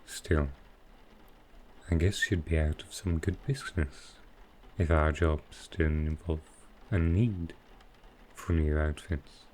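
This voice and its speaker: A man playing a smooth, flirtatious supervillain in an intimate ASMR roleplay, speaking softly and unhurriedly in short, halting phrases. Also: rumbling low, fairly even intonation, a little hiss or hum, low-quality recording. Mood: wry, resigned. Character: smooth, flirtatious male supervillain courting his tailor. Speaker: voice actor in audio roleplay.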